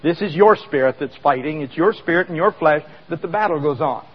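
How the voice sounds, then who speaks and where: A man's voice: fairly high pitch, animated, fairly quick, slightly rough, big-room sound, muffled recording. A man preaching a sermon in a conversational way, asking the congregation questions as he explains scripture. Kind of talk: sermon with live demonstration.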